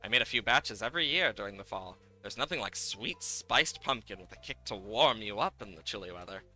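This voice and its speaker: A man's voice: lowish voice, expressive, fairly quick, bright, forceful tone, faint music behind, full character voice, poor audio. A man reading the lines of a friendly, inviting cider brewer from a farming video game. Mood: welcoming. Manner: neighbourly, inviting.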